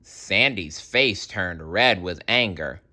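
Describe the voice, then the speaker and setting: A male storyteller with a low, bright voice reads a children's tale aloud. He is expressive and takes his time, dropping in wry asides. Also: slow pace.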